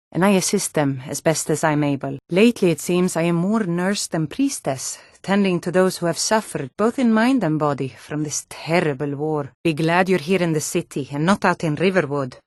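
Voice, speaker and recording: A woman's voice: low-pitched, moderate pace, darkish tone, dry recording, studio quality, close to an everyday voice. An actress voicing a hardy, no-nonsense Nord townswoman and guard in a fantasy video game, with a firm, plain-spoken delivery. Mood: solemn, kindly. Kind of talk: scripted fantasy game dialogue.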